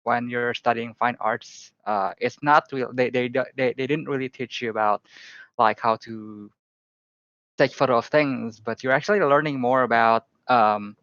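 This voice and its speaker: A man in his thirties from Indonesia, a guest photographer talking casually on a podcast, relaxed and joking, with lots of fillers. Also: medium pitch, moderate pace, smooth, dry recording. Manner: relaxed, jokey, frequent fillers. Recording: slightly dull lo-fi audio.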